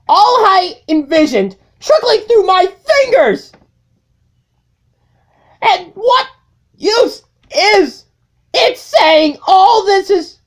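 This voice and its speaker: A woman's voice: very high-pitched, voice pushed up, full character voice. A woman voicing a resentful, wounded robot lieutenant in a comic dub, strained, pleading and melodramatic. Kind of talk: comic dub dramatic acting.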